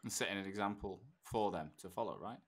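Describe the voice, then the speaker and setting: Male host, lowish voice, fast, chatty interview questions. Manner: encouraging, conversational questions. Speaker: podcast host. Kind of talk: interviewer prompting guests.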